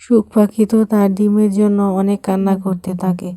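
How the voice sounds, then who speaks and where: A woman reads a children's fairytale in a soft, mellow tone. Her pitch stays quite even, and the recording is dry and close. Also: fairly quick, no room sound. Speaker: storyteller, narrator. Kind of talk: scripted children's fairytale narration.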